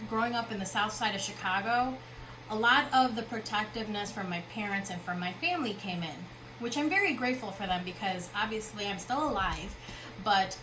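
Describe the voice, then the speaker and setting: Conversational woman speaker in a noisy hall. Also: on the high side. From Philippines. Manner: conversational, with frequent fillers and check-ins. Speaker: founder and keynote speaker. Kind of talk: motivational expo talk. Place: expo hall.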